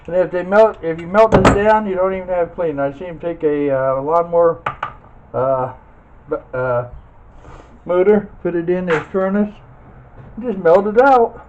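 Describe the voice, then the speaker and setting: A man's voice: high voice, normal speed. Phone-like, noisy recording of folksy man. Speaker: scrap metal hobbyist demonstrating teardown. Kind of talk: casual hands-on tutorial narration. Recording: muffled phone-like audio, loud background noise.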